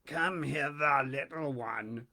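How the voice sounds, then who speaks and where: A man voicing a crafty, menacing crocodile in a storybook, speaking low and slow. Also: bright, forceful tone, exaggerated voice. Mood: sly menacing.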